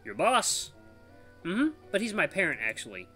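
A man's voice: high voice, wildly swooping pitch, normal speed, sharp tone, faint music behind, voice pushed up, exaggerated voice. A man voicing a modest, hesitant young ice cream trainee in a visual novel read-aloud. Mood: casual.

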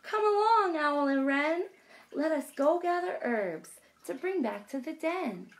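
Woman putting on a loud, cawing storybook raven. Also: sits high, animated, unhurried, pitched above the performer's own voice. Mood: eager.

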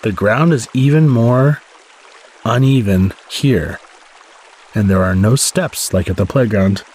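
A man reading a children's storybook aloud as the host, in expressive narration with a slightly husky voice. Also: lowish voice, slow. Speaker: story podcast host and reader.

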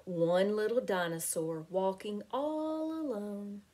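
Middle-aged female teacher for picture book read-aloud: mellow, slightly husky, sing-song delivery. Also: mid-range voice, takes it slowly. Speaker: teacher reading aloud to children. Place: small home room with window blinds.

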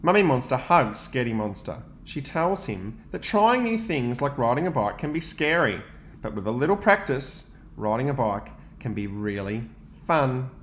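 A young adult male teacher, reading a picture book aloud to young students and pausing to ask them questions. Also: mid-range voice, echoey room, a little hiss or hum. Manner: gentle, expressive storytelling with pauses to ask questions.

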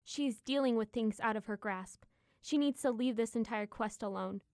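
A scornful love goddess, played by a woman, speaking imperiously in an audio drama. The voice is fairly high and formal, recorded dry. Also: normal speed, a little mellow, no room sound, close to an everyday voice. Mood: scornful anger.

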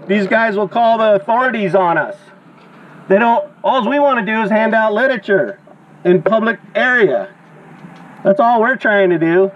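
A man doing open-air protest preaching through a megaphone, exhorting and declamatory like a street preacher. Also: sits high. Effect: megaphone amplification. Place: outdoors on grass beside a sidewalk and parking lot. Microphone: action camera beside the megaphone. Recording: dull outdoor recording with traffic noise.

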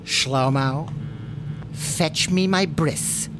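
A woman's voice: sits low, very slow, noisy background. An actress playing a breezy, coaxing, cheerfully menacing woman plotter in a comedy audio drama. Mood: coaxing menace. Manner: coaxing, breezy about threats.

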